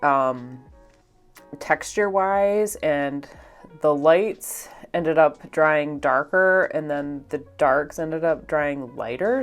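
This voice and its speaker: A young woman with a congested, stuffed-up voice, chatting casually in a rambling way with many fillers and little laughs. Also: deep voice. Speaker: art vlogger. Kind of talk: casual voice-over while painting.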